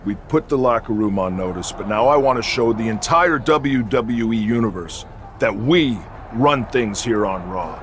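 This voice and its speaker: An actor playing a stern, commanding veteran wrestler who leads a faction in a video game, talking curtly and with authority. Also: male voice, lowish voice, expressive, moderate pace, slight background noise, lightly acted. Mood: confident, commanding. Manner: curt and authoritative. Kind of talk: scripted video game cutscene acting.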